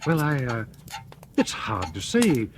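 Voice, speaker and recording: An actor playing a hesitant, stammering young doctor in an old radio drama. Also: male voice, mid-range voice, wildly swooping pitch, unhurried. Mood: nervous. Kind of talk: 1940s radio acting. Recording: hissy old radio broadcast.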